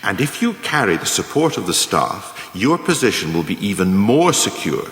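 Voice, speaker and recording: A man playing a male lecturer in a radio play, giving a measured, formal, didactic monologue on scheming career advancement. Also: lowish voice, sing-song, fairly bright tone. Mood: measured, instructive. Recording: echoey room with long reverb.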